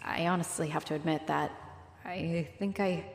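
A woman playing a friendly, flirtatious halfling orc adventurer in a roleplay audio, speaking straight to the listener in a warm, playful, expressive way. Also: deep voice, moderate pace, creaky, a little hiss or hum. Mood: tender, shy.

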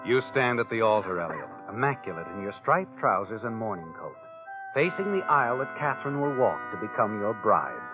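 An actor playing a sardonic, all-knowing narrator of crime tales, taunting in second person in a 1940s radio drama. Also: male voice, on the low side, very animated, fairly quick. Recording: tinny vintage radio recording with music behind.

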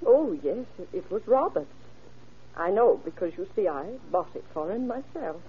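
A woman's voice: mid-range voice, very animated, normal speed, highs cut off, slight background noise, lightly acted. An actress playing an anxious, trusting older mother in a fretful, earnest old radio drama style. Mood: hesitant, helpful. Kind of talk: vintage radio drama acting.

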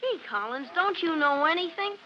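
A cocky know-it-all boy, very high and piercingly bright. He is eager and boastful in vintage radio style, on a muffled old recording. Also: normal speed, a little hiss or hum, full character voice. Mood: scornful, boastful. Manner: cocky, excitable. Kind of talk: old-time radio drama acting.

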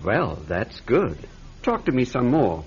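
An actor playing a cunning, friendly-seeming officer who speaks English in a vintage radio drama. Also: male voice, mid-range voice, sing-song, slow pace, muffled recording, slight background noise. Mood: coaxing. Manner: friendly, coaxing, quietly manipulative. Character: smooth, cunning German officer interrogator. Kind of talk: 1940s radio acting.

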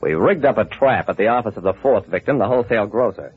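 An actor playing a shrewd, hard-boiled investigator in an old-time radio drama, wry, clipped and confident. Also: male voice, lowish voice, expressive, fast, a little hiss or hum, lightly acted. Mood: wry, brooding. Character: shrewd, hard-boiled male investigator telling his case. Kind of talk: old-time radio detective narration and acting. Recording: muffled vintage radio recording.

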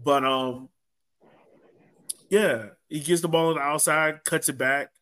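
A man's voice: a little high, unhurried, fairly bright tone. A man hosting a casual sports recap podcast in a conversational way, drawing others into the discussion. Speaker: podcast host.

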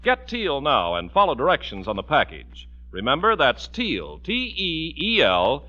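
A man's voice: on the high side, huge pitch swings, a little slow, bright, forceful tone. A man working as a radio announcer, delivering scripted commercials and narration in an emphatic, polished 1940s sales style. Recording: dull vintage radio broadcast recording.

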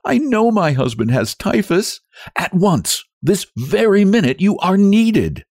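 A distraught young wife of classic fiction acted out by a man narrator. The voice is lifted high and swings widely as it begs, tearful and urgent, in a dry recording. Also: very animated, a little slow, darkish tone, no echo, exaggerated voice. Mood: desperate pleading. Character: desperate, pleading young wife begging for help.

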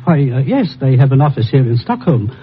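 An actor playing an anxious, proper gentleman, formal and hesitant, in an old-time radio drama. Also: medium pitch, very animated, a little fast, lightly acted. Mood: worried, hesitant. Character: anxious, proper gentleman worried about a missing boy. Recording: lo-fi old radio recording, faint hiss.

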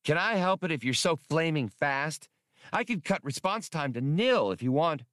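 A man's voice: a little high, lively intonation, moderate pace, fairly bright tone, dry recording, clean studio sound, pitched above the performer's own voice. A man voicing a small, cheeky computer module that talks in a pert, slangy, youthful way. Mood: indignant.

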